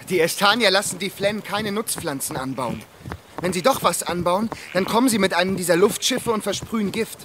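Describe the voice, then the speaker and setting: A high-pitched male voice plays an indignant, headstrong space-expedition geologist. He talks in blunt, urgent bursts, audio drama style, over clear background noise. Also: normal speed, fairly bright tone.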